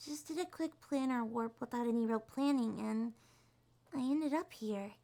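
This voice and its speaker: A woman voicing a shy, sweet young succubus monster girl in a soft, breathy, hesitant ASMR roleplay. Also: on the high side, moderate pace. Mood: nervous, explaining. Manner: hesitant, gentle, slightly stammering.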